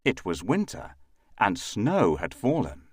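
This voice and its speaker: A man reads a children's fairy tale as a storyteller. His voice is fairly low, with huge swings in intonation and a slow pace, recorded dry and close. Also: wildly swooping pitch, a little mellow, husky, no echo.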